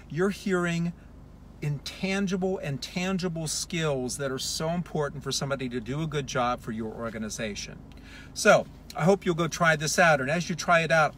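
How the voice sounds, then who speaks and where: Male consultant, fairly high register, emphatic explainer style with deliberate pauses, light ambient noise behind. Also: a bit bright. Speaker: hiring consultant giving advice. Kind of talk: advice talk to camera, explanatory.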